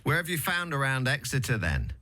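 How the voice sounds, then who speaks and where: Man, radio host, lively pitch, bright voice, relaxed and conversational. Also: a little fast. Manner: relaxed, conversational, many fillers. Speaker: radio presenter. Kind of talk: radio interview.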